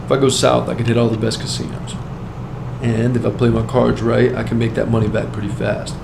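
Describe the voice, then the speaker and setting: A man playing a desperate, streetwise drug dealer on the run, narrating his brooding thoughts in a deliberate, dramatic inner monologue. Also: medium pitch, little pitch movement, vocal fry, noisy background. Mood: brooding, scheming.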